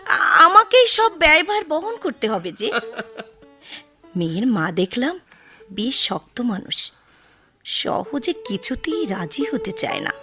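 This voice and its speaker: A woman acting as an affectionate, fussy middle-aged Bengali mother in a radio drama, with halting, exclaiming delivery. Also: high voice, big pitch swings, bright, forceful tone, faint music behind, heavily acted. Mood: fussy, explaining.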